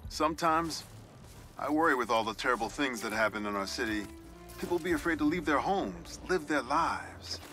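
A man's voice: mid-range voice, animated, moderate pace, pushed and bright, faint music behind. An actor playing a genial middle-aged New York newspaperman with an American accent, telling nostalgic, reflective stories in a video game. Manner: storytelling, reflective. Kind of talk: game voice acting, conversational monologue.

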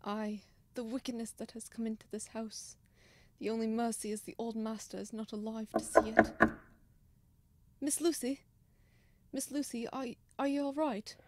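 A woman's voice: a little high, fairly even intonation, normal speed, slight background noise, natural voice. A woman playing a pious, timid, kindly old male servant, lamenting in old-fashioned radio drama style.

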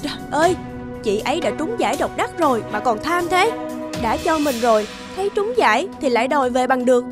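Music plays behind a humble poor wife in an animated moral tale. She is emotional and plaintive, with a highish voice that moves freely up and down. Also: fairly quick. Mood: indignant, hurt.

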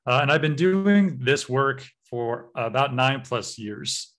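American man giving a relaxed training talk. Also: medium pitch, slow. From United States. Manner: relaxed, frequent uh and um fillers. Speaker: cybersecurity trainer. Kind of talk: online workshop lecture over slides.

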